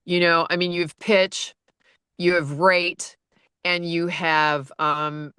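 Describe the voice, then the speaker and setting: Low-voiced woman narrator, bright and dry. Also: level tone, slow, clean tone, no room sound. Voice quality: low-register voice. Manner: rambling, with many fillers and restarts. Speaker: audiobook narrator. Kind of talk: casual conversation.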